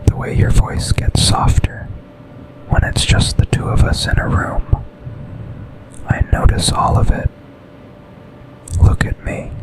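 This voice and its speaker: Man acting as slow, whispering seductive boss. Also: lightly acted, low-quality recording. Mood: intense, seductive. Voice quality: breathy whisper.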